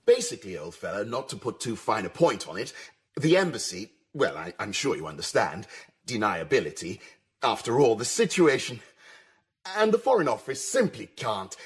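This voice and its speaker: An actor playing an evasive, bumbling British embassy official with an upper-class English accent, hesitant and waffling, in a radio drama. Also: male voice, on the low side, sing-song, moderate pace, husky, exaggerated voice. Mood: apologetic, evasive. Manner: hesitant, waffling, mealy-mouthed.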